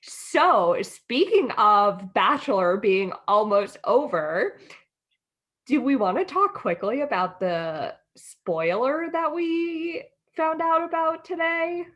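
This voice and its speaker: Unhurried young American woman, casual co-host. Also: a little high, lively intonation, a bit bright, dull audio. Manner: informal, sweary. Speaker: podcast co-host. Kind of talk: casual chat.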